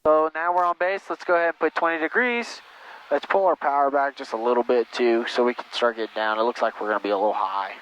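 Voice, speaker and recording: A man's voice: medium pitch, fast. A man working as a flight instructor, giving patient, encouraging step-by-step coaching and repeating his instructions over a cockpit headset. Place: small airplane cockpit in flight. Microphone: aviation headset. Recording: muffled intercom audio, steady engine noise.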